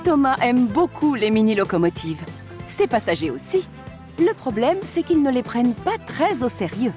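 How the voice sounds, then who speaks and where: A woman narrator reading scripted children's cartoon narration in an expressive storytelling manner. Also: a little high, normal speed. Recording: music behind the voice.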